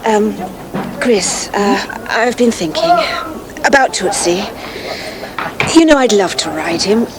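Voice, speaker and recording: A woman's voice: medium pitch, animated, takes it slowly. An actress playing a spirited, well-spoken English horsewoman in a radio soap. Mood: uneasy. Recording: noisy outdoor yard sound effects.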